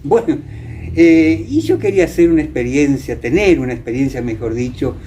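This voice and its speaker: Argentine guy, older-ish, mellow midrange voice, hesitant explaining, bit of background noise. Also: on the high side, lively intonation, moderate pace. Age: middle-aged or older. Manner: hesitant, explanatory, seeks agreement with tag questions. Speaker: interviewee, former radio actor. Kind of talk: reminiscing interview talk.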